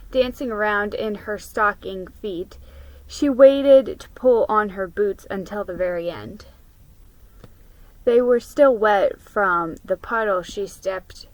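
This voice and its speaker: A woman doing a dramatic reading of a children's book, in a deliberate way. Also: medium pitch, poor audio. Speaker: vlogger reading books aloud.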